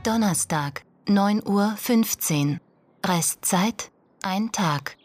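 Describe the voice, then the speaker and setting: An impersonal female announcer in a radio crime drama. She speaks very slowly, setting out each phrase separately, over clearly audible music. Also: medium pitch. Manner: measured, reading out times phrase by phrase.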